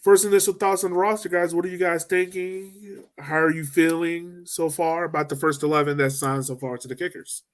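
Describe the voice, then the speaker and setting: A man's voice: a little high, fairly even intonation, brisk, hardly any pauses. Upbeat man hosting a sports podcast. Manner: upbeat, loose, laughs easily. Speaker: podcast host. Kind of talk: casual sports podcast chat.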